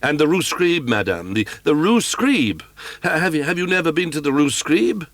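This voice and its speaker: A man's voice: a little high, expressive, moderate pace, fairly bright tone, dry recording, pitched above the performer's own voice. A man voicing an anxious young male nobleman who stammers and pleads urgently. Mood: frantic.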